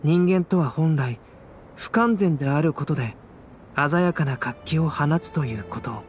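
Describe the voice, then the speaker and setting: A man playing a calm, cerebral young alchemist in a Japanese anime game, speaking in a measured, soft-spoken, enigmatic way. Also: on the high side, lightly acted. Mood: solemn storytelling. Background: background music.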